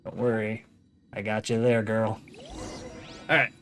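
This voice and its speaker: Wry woman streamer with very low voice. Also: little pitch movement, unhurried. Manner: wry, offhand. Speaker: game streamer. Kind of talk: casual live gameplay commentary. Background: game music.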